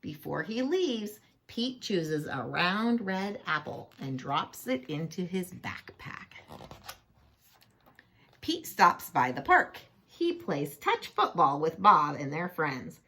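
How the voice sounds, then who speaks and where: Slow, animated woman reading picture books aloud. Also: fairly low pitch, husky. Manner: playful, expressive, asks the listener questions. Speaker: storyteller reading to children. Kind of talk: children's picture-book read-aloud with chatty asides.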